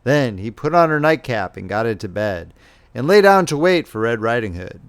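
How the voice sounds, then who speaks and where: A man narrating a children's fairy tale as a scripted, expressive audiobook reading. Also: mid-range voice, normal speed, clear, resonant tone.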